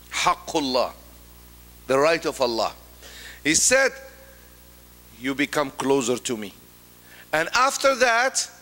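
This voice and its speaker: A middle-aged man giving a Friday sermon as imam, emphatic, rising on key words and dropping low after, quoting Arabic quickly. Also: bright, forceful tone. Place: large mosque prayer hall. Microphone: stand mic. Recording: long reverb of a large hall.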